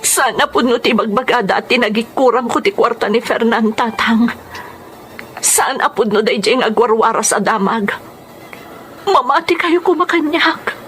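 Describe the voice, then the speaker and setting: A woman's voice: fairly high pitch, normal speed, a bit bright, slight background noise, lightly acted. A woman acting a hurt, dutiful daughter in an Ilocano radio drama, earnest and pleading, with an Ilocano accent. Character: hurt, dutiful adult daughter defending her honour. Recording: music under the voice.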